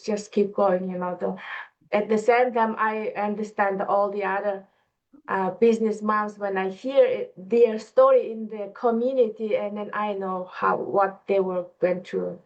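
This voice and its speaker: A woman's voice: medium pitch, little pitch movement, a little slow. A woman with a non-native English accent giving a personal testimonial as a panelist, on a lo-fi online call. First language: not English. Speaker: talk show panelist, online business owner.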